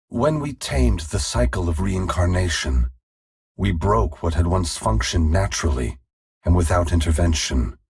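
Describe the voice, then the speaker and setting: An ancient god of immense size from a fantasy game, with a very low voice. It is extremely husky and full of gravelly creak. The delivery is solemn, sorrowful and formal, each line measured and grave. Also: male voice, a little mellow, heavily acted. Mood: somber, foreboding. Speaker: video game character voice. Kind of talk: scripted fantasy game dialogue.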